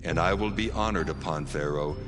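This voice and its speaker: A deep, solemn voice of God acted by a man rings out in long reverb. It proclaims commands with stately authority in a Bible drama. Loud music plays underneath. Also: brisk, natural voice. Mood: solemn decree. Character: the Lord God, commanding, solemn male divine voice.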